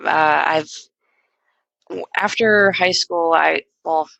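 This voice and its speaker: A woman's voice: low-pitched, fairly even intonation, slow pace, gravelly, a bit bright, no echo, lo-fi sound. A young woman guest on a podcast, talking casually and hesitantly with lots of fillers and restarts. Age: young adult. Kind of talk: casual conversational interview.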